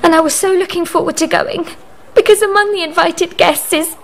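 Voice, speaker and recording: A woman's voice: very high voice, fairly even intonation, brisk, creaky. A woman playing a sheltered young granddaughter, gushing and yearning with romance, in a radio drama.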